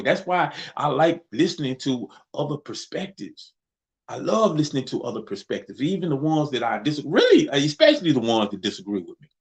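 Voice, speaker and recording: Young man with a Mississippi Southern drawl, heavy vocal fry, reflective and conversational, repeats himself for emphasis. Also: medium pitch. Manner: reflective, repeats phrases, laughs easily. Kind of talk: casual conversation.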